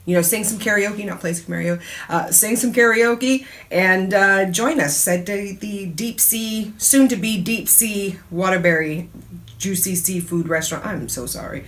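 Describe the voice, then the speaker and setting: A middle-aged woman from the United States talks as a radio host. She rambles and jokes with plenty of laughter, and her voice sits at an ordinary pitch for a woman. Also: unhurried. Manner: chatty, laughs often, animated asides. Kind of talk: casual solo talk show.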